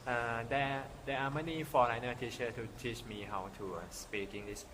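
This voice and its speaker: A young man with a Thai accent in a casual discussion, speaking English slowly with pauses. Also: medium pitch, steady tone. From Thailand. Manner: slow, pausing with uh. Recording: noisy reverberant room.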